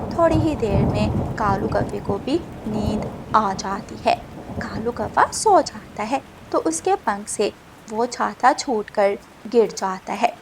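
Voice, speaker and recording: A woman with a high voice tells a cartoon story. Her delivery is scripted narration from a storyteller. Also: gravelly, background noise.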